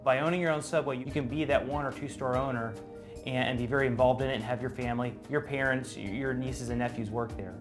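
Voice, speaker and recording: A man's voice: medium pitch, steady tone, fast talker, over music. A man speaking as a franchise owner in an interview testimonial.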